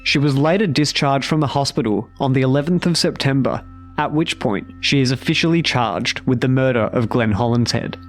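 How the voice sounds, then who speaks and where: A man narrating a scripted true-crime story with measured, deliberate phrasing. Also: mid-range voice, soft background music.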